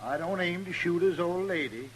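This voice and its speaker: A man's voice: high-pitched, moderate pace, lightly acted. An actor playing a stubborn Ozark mountain man in a 1950s Western radio drama, with a rustic hillbilly drawl. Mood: stubborn, calm. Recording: noisy old radio drama recording.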